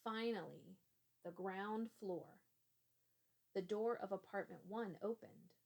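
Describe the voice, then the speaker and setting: Slow, creaky-voiced woman reading a story. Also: medium pitch, a little mellow. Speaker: teacher reading aloud. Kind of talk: children's storybook narration.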